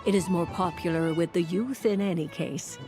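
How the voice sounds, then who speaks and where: An actress playing a refined woman mage and fortune-teller in a video game, speaking formally and courteously with measured eloquence. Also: lowish voice, lightly acted. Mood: courteous, theatrical. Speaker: fortune-telling mage character. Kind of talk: video game character acting. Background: music.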